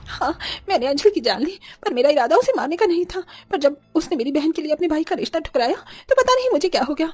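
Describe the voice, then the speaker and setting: A scheming, scolding village mother from a horror cartoon, played by a woman. She talks quickly and presses every point insistently. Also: high-pitched, big pitch swings, a little mellow. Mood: pleading. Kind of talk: animated horror story dialogue.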